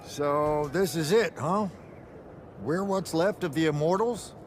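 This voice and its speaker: A wry old battlemage veteran in a fantasy game. He talks colloquially and gruffly, like an uncle, in a voice a little high for a man, with noticeable ambience behind him. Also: slow pace, slight background noise. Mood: wry, casual. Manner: colloquial, dry, gruffly avuncular. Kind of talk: video game acting.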